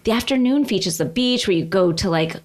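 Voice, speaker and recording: Animated woman chatting on a comedy podcast. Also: mid-range voice, a little fast. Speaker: podcast co-host. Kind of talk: casual comedic chat.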